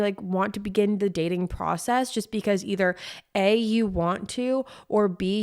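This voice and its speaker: A young woman with an American accent chats as a solo podcast host. Her voice sits at an average pitch, and her delivery is informal and effusive, leaning on words and repeating them for emphasis. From Connecticut, US East Coast. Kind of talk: casual chatty solo podcast.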